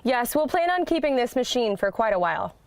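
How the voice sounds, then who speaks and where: A woman acts out a no-nonsense female customer in a scripted business call. Her voice sits a little high and is recorded very dry. She is plainspoken and matter-of-fact, like someone with a busy job site to run. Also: sing-song, fairly quick, no room sound. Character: busy, no-nonsense woman construction equipment manager. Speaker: customer, construction equipment manager. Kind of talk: scripted business sales call role-play.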